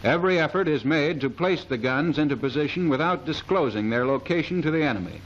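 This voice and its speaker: A man's voice: a little high, lively intonation, fairly quick. A man reading scripted military instructional narration in a formal, precise manner, in the style of a 1930s training film. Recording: dull, hissy 1930s film soundtrack.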